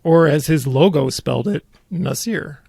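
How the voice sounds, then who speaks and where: A man hosting a podcast in casual chat, teasing and mock-serious with dry sarcasm and a gravelly, husky voice. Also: a little high, unhurried, dark tone, no room sound. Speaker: podcast host. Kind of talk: casual chat about local politics.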